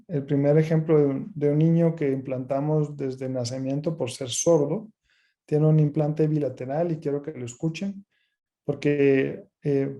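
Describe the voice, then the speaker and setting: A man lectures as a medical specialist in a very soft, dark, mellow voice. He explains things step by step, often pausing halfway through a phrase. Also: on the high side. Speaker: ENT specialist doctor presenting a webinar.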